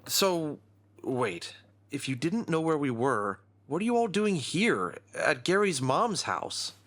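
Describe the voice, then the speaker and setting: A man playing an earnest, cocky young fox adventurer in an expressive audio drama style. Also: on the high side, slow pace, full character voice. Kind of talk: audio drama cartoon acting.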